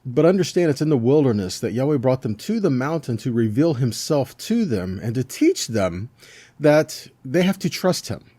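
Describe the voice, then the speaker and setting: A man's voice: mid-range voice, very animated, normal speed, mellow, no room sound. A man teaching a conversational sermon as a preacher, folksy, often checking in with short rhetorical questions.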